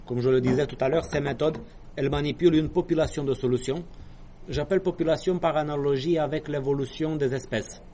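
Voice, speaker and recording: A man's voice: fairly high pitch, fairly quick, warm tone, slight background noise. A man giving a university lecture, explaining step by step with hesitations, apologetic asides and small jokes.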